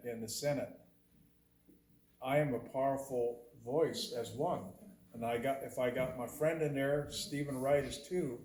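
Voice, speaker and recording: A man's voice: mid-range voice, warm tone. An older man running for state senate, giving an earnest, plain-spoken campaign speech at a community meeting and leaning hard on key words. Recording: echoey hall, faint background noise.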